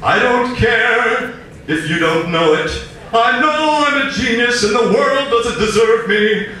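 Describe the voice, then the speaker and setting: A man performing an agonized, furious man demanding to be killed, in shrill, profane outbursts on a roomy stage recording. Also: animated, slow pace, pushed and bright, full character voice. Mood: grandiose, defiant. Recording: roomy live stage, distant mic, faint noise.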